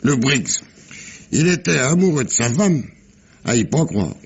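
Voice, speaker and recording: An actor playing a rough, tipsy sailor spinning a sea yarn in slangy, rambling, halting speech, in 1950s French radio mystery style. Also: male voice, medium pitch, sing-song, dull audio, heavily acted. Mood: excited storytelling.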